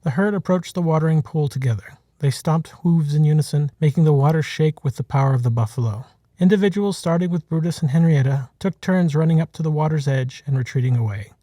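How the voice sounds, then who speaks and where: A man narrates a short story in a measured, dramatic way. His voice is mellow and a little high for a man, recorded close and dry. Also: no echo. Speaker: author reading his own short story.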